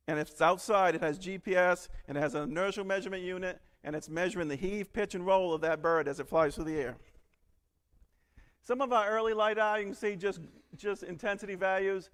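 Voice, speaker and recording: A man from northern Alabama giving a quick, joking technical talk at a conference. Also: high-pitched, clear, resonant tone. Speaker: conference presenter. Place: large conference hall. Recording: long hall reverb.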